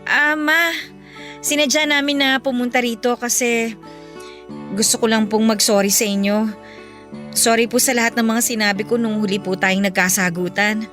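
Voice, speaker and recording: A woman's voice: fairly high pitch, fairly even intonation, moderate pace, pauses often, fairly bright tone, close to an everyday voice. A woman playing an earnest, hurt young adult daughter in a Filipino radio drama, speaking with emotion. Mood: nervous, pleading. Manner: pleading, emotional. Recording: music behind the voice.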